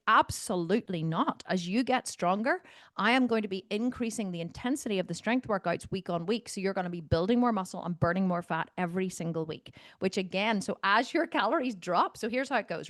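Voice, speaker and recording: A woman with a medium-pitched voice speaks as a fitness coach answering questions on a video call. She is blunt and insistent, driving points home by repeating phrases. The sound is dry, with very little room in it. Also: expressive, fairly quick, no echo. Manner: blunt, no-nonsense.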